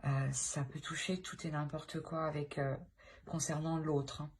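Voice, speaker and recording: A woman's voice: sits low, little pitch movement, unhurried. A woman giving an informal tarot reading to viewers, hesitant and thinking aloud as she goes. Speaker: tarot reader and channel host. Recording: clear background noise, clicks.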